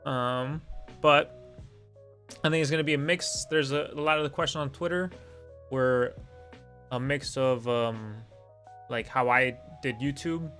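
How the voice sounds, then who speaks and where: A man's voice: medium pitch, unhurried, long pauses, fairly bright tone, soft background music. A young man whose first language is Lithuanian, hosting a casual live-stream Q and A, rambling and self-deprecating with many fillers.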